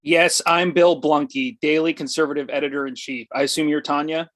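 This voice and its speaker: A man's voice: a little high, steady tone, fairly quick, a bit bright, no echo, natural voice. A man playing a mad, self-important news editor in his forties, speaking formally and pompously in a script read. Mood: stern, cold. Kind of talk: screenplay table read.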